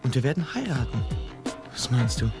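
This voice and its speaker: An actor playing a naive, excitable young prince in a fairy-tale radio play, earnest and melodramatic. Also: male voice, mid-range voice, normal speed, natural voice. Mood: earnest, eager. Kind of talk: German radio play acting. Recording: studio radio play with music behind.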